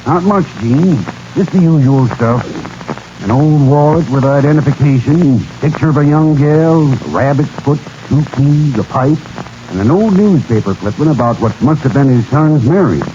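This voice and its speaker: An actor playing a plain-spoken, easygoing American small-town sheriff in a 1940s radio western, folksy and matter-of-fact. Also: male voice, a little high, lively intonation, normal speed, dark tone, muffled recording, close to an everyday voice. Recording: faintly noisy vintage radio broadcast.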